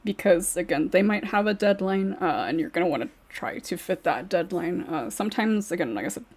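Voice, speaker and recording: A woman's voice: lowish voice, expressive, a little fast, darkish tone. A woman hosting a casual panel discussion, speaking in a hesitant way and often circling back over her words. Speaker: podcast host.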